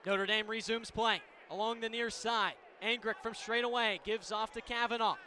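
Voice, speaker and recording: A man's voice: high-pitched, fairly even intonation, moderate pace, clear, resonant tone, some chatter behind. A man calling a lacrosse game live on radio as play-by-play announcer, in vivid, clipped running description. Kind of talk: live radio sports commentary. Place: sports venue broadcast position. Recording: loud arena noise behind commentary. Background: loud game ambience.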